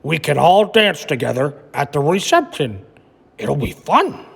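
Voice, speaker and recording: A man doing a calm, kindly schoolteacher. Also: on the high side, measured pace, gravelly, breathy edge, in a large hall, exaggerated voice. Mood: reassuring. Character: kind male schoolteacher, gentle grown-up.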